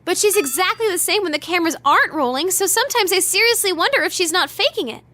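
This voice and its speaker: Very high, bright teenage idol girl. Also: quick pace, exaggerated voice. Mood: exasperated. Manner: gossipy, emphatic, expressive. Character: perky teenage girl pop idol, chatty and dramatic. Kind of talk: animated video game voice acting.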